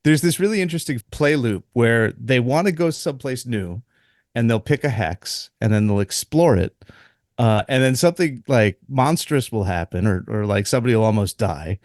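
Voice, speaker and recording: A man in casual podcast talk, mid-pitched and chuckling as he goes. He rambles and restarts his sentences. The sound is dry and close, with no room around it. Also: animated, moderate pace, a little mellow, no echo. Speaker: dungeon master and podcast co-host.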